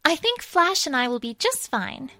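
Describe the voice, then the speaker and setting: A woman voicing a sweet, nervously hopeful young pony princess with a gentle, polite manner in a fan fiction reading. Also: high voice, sing-song, moderate pace, faint music behind, exaggerated voice. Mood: grateful, eager.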